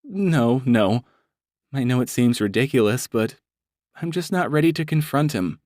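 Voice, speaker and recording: A man's voice: medium pitch, lively intonation, unhurried, darkish tone, no room sound, clean studio sound, pitched above the performer's own voice, natural voice. A man voicing a nervous, tearful young married woman who blames herself. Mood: hesitant. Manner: shaky, self-blaming, nervous.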